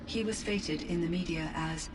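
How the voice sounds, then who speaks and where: A courteous female artificial intelligence played by a woman in a video game, speaking in a lowish register with exact, composed phrasing. She sounds set back from the mic, with clear noise over the recording. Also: normal speed, recorded from a distance, lightly acted. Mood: composed, informative. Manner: formal, precise, composed diction. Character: polite, measured artificial intelligence from the old world, female. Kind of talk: scripted video game dialogue.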